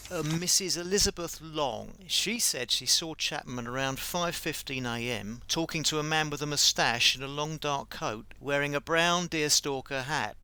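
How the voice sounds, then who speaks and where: An actor playing an earnest Victorian police inspector, reporting formally for an audio play. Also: male voice, a little high, normal speed, few pauses, pushed and bright, slight background noise. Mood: matter-of-fact. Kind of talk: scripted audio play acting.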